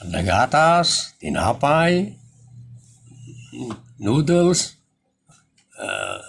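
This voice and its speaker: A man plays a patient, kindly aid-giver with an Ilocano accent in a comedy audio drama. His gravelly voice swings widely in pitch, with small conversational hesitations. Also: huge pitch swings, extremely slow, a bit bright, exaggerated voice. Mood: helpful, amused. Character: kindly, patient male charity vlogger giving aid.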